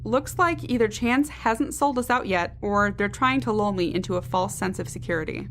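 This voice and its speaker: An actress playing a tough, sardonic mutineer in an audio drama, speaking in a clipped, businesslike way. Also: female voice, medium pitch, moderate pace, a little hiss or hum, close to an everyday voice. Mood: wary, wry. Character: tough, wry, brisk female mutineer guard.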